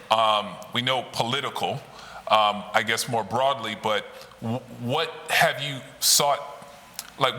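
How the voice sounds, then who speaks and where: A man's voice: medium pitch, bright, forceful tone, in a large hall. A man moderating a gallery artist talk, rephrasing an audience question for the artist. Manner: attentive, frequent backchannel murmurs. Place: art gallery.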